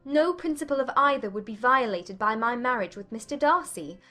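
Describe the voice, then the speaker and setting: Woman playing a high-voiced, spirited young gentlewoman. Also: animated, brisk, soft background music. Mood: cool defiance. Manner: firm, precise, formal. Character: spirited, self-possessed young English gentlewoman, defiant and composed. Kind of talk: dramatized audiobook acting.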